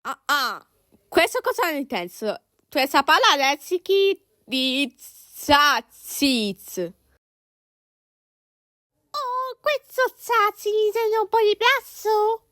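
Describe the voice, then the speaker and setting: A woman's voice: very high-pitched, big pitch swings, slow pace, pushed and bright, no echo, studio quality. A woman doing an amateur cartoon fan dub, acting out several characters in an exaggerated, exclamatory way.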